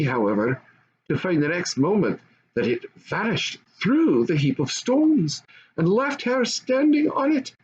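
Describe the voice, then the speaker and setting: A man's voice: sits high, a little mellow. An older man reads a children's story aloud expressively, as an amateur storyteller. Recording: dull lo-fi home recording, faint noise.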